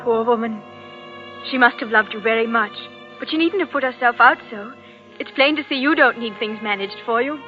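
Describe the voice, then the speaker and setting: An actress playing a shy, lonely young bookshop lady, gentle and hesitant, in old-time radio drama style. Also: a little high, fairly quick, soft background music, natural voice. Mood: tender, sympathetic. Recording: dull vintage radio drama recording.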